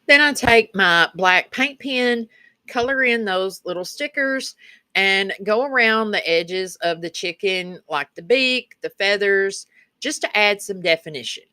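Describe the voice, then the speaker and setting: A woman's voice: mid-range voice, animated, unhurried, pushed and bright, dry recording. A woman with a rural Kentucky Southern accent narrating a casual craft tutorial as the channel's host, in a folksy, chatty way. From Kentucky, rural United States.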